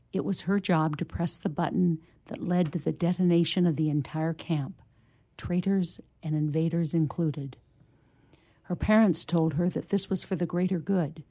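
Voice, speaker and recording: A woman guest reading a short story aloud in a measured narrative style. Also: on the low side, dark tone. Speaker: guest reader.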